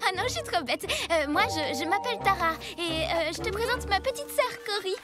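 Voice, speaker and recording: Very high, flustered cartoon girl. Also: animated, brisk. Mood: flustered, shy. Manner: flustered, hesitant, stammering. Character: smitten, flustered young girl, a big sister. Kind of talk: animated children's cartoon dialogue. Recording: loud music behind the voice.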